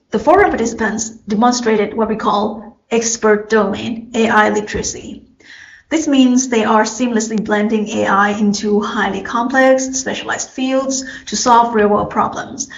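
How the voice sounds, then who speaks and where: A woman from Thailand with a Thai accent gives a formal, prepared academic presentation, measured and addressing an audience step by step. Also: a little high. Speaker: conference presenter. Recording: roomy echo, slightly dull sound.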